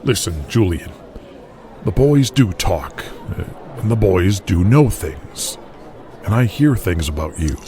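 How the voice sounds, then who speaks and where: Audio drama wrestler, acted by a man: deep voice, wary family man, hesitant and plain-talking. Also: sing-song, takes it slowly, mellow, a little hiss or hum, heavily acted. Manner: hesitant, guarded, plain-spoken. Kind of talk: audio drama character acting.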